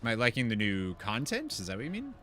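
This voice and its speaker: A man's voice: medium pitch, huge pitch swings, fast, pushed and bright. A man giving casual, rambling and enthusiastic gameplay commentary as he chats with his viewers. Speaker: video game streamer.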